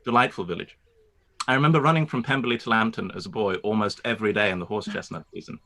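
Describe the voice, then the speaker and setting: A reserved young gentleman of the landed class with a lowish, forceful voice, as a man acts him in a live-read radio play. He speaks formally and carefully, pausing now and then as he picks his words. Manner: formal, measured, with brief hesitations. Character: reserved proud young gentleman landowner, newly courteous. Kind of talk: period radio play acting.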